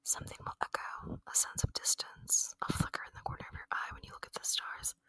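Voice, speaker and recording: A woman voicing a gentle, lonely female alien in an ASMR roleplay, whispering tenderly and intimately in a breathy voice, close to the microphone. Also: dry recording, lightly acted. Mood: tender, hopeful. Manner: slow, tender, intimate, earnest. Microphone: close microphone, dry.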